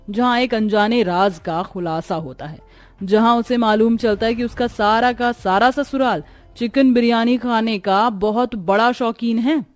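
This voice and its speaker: A woman's voice: a little high, a little mellow. A woman narrator reading a scripted cartoon story.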